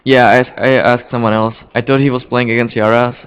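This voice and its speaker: A man with a Czech accent casually commentating live on a card game, haltingly and often repeating his words. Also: medium pitch, flat delivery, smooth. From Czech Republic. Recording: dull, lo-fi stream audio.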